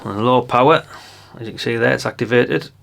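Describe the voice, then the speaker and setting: A man's voice: medium pitch, brisk, vocal fry, a bit bright, slight background noise. An older man giving a casual hands-on product demonstration, chatty and unscripted, thinking aloud as he goes. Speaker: presenter of a radio gadget review channel.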